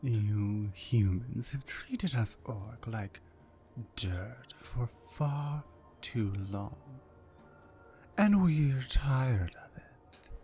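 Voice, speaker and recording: A woman voicing a dominant, mean orc warrior woman in an ASMR roleplay, slow, commanding and condescending, with a low breathy voice that often nears a whisper. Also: lively intonation, mellow, exaggerated voice. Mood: bitter, menacing.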